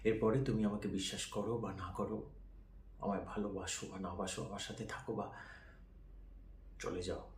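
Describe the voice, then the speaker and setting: A man performing a slow, pleading dramatic monologue as an amateur actor, with halting delivery and heavy pauses. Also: on the low side, level tone. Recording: roomy echo, faint background noise.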